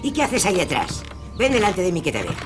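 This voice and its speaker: A woman's voice: lowish voice, wildly swooping pitch, quick pace. Casting: harsh old Castilian grandmother for audio drama, scolding, domineering, wildly swinging intonation, music underneath. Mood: scolding, bitter. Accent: Castilian Spanish. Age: older. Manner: scolding, nagging, sharp-tongued.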